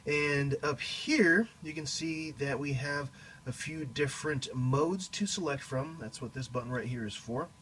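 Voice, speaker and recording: A man giving a casual, explanatory demonstration of a guitar effects pedal in a halting way, as a teacher would. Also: fairly high pitch, a bit bright, slight background noise. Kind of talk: casual product demo.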